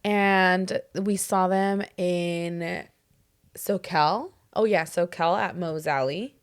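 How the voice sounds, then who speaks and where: A young woman co-hosting a podcast in casual chat, talking in a hoarse voice that is still recovering, full of "like" and "dude.". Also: lowish voice, slow pace. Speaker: podcast co-host.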